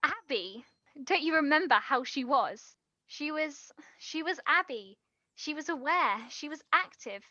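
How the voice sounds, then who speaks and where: An actress playing an earnest, guilt-ridden young woman, halting and pleading, in a naturalistic stage drama. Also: high voice, animated, sharp tone, no echo, dull audio, exaggerated voice. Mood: earnest, defensive.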